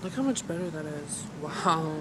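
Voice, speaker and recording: A woman's voice: sits low, expressive, moderate pace. A young woman chatting casually into a phone held at arm's length, bubbly and self-admiring, in a car and a thrift store. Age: young adult. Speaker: thrift vlogger. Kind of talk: casual vlog chat. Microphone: phone camera held at arm's length. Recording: noisy phone recording.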